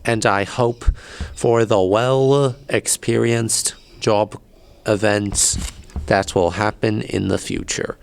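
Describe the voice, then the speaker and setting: A young man in his late teens, reading a prepared reflection hesitantly, with many pauses. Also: lowish voice, steady tone, creaky, clear, resonant tone. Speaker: student leaving high school.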